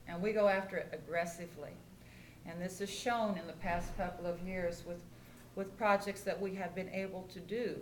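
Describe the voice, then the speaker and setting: An older American woman, a mayor chairing a public meeting and making informal remarks. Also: lowish voice. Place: indoor council meeting room. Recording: echoey meeting room with background noise.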